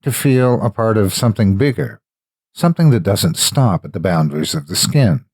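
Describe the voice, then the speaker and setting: Male horror narrator, low register, dry studio-tight sound, emphatic and theatrical storytelling. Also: lively intonation, moderate pace, darkish tone, breathy edge, no echo. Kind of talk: scripted dramatic narration.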